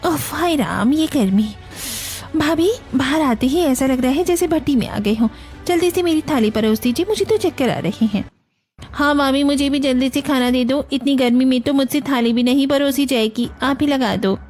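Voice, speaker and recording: A woman's voice: sits high, moderate pace, darkish tone, soft background music, lightly acted. A woman with an Indian accent playing a selfish, demanding young newlywed sister-in-law in a family cartoon, theatrical and whining. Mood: whiny, demanding.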